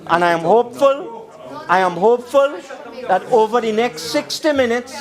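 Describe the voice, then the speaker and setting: A man's voice: extremely high pitch, moderate pace. A man with a Trinidadian accent giving an emphatic parliamentary speech, repeating phrases for stress. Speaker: government minister speaking in parliament.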